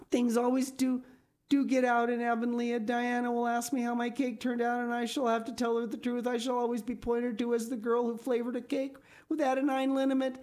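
Lady putting on a squeaky teary orphan girl, dramatic and pleading. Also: a little mellow, lightly acted. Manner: high, pleading and tearful.

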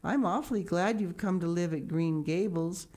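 A woman's voice: fairly low pitch, normal speed, warm tone, voice pushed up, lightly acted. A woman reading aloud and voicing a frank, cheerful young girl who laughs easily and can be a bit prim. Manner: frank, laughing, slightly rebuking. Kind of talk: audiobook character voice.

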